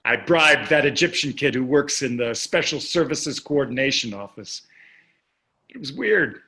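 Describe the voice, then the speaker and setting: A man's voice: medium pitch, lively intonation, a little slow, sharp tone. A man acting a wealthy, breezy, self-satisfied husband in a play reading, with a chatty delivery. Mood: pleased. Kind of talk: staged play reading over video call.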